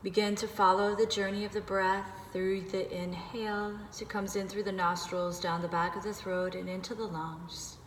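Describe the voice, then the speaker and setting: A woman leading a guided meditation, giving gentle, unhurried instructions with pauses. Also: mid-range voice, level tone. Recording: echoey reverberant room with background noise.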